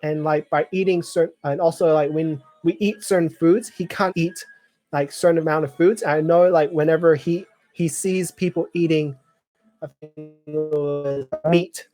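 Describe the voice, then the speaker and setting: A man's voice: fairly high pitch, steady tone, normal speed, darkish tone. Rambling young man, lots of fillers. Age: 20s. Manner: clears throat often. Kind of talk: casual live chat reading viewer comments.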